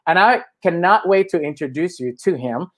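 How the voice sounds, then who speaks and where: Excitable gushing male talk show host. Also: high-pitched, normal speed. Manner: excitable and gushing, with frequent fillers. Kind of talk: live-streamed talk show interview.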